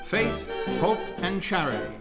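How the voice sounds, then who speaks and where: A man's voice: sits high, sing-song, unhurried, loud music behind the voice. A man reading scripted narration and closing credits as the announcer of a radio play.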